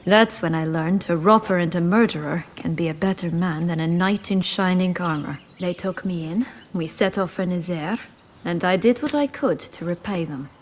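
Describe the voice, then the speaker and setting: Woman as a bitter banished noblewoman, wry and measured. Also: lowish voice, lightly acted. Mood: bitter, reflective. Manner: wry, measured, dramatic storytelling. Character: bitter, proud banished noblewoman turned bandit leader. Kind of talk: fantasy game acting. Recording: faint ambient noise behind.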